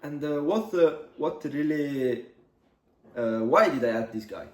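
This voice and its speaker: A man in his twenties from Italy, a native Italian speaker, telling a personal story in English for his vlog, halting with many pauses. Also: on the high side, animated, a little mellow. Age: 20s. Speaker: vlogger. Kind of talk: casual storytelling to camera. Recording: echoey room, mic at a distance.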